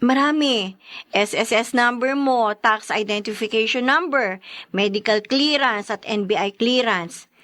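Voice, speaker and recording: An actress playing an exasperated, bossy but protective elder sister and wife in a radio drama. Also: female voice, fairly high pitch, a little slow, a bit bright. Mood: bossy, concerned.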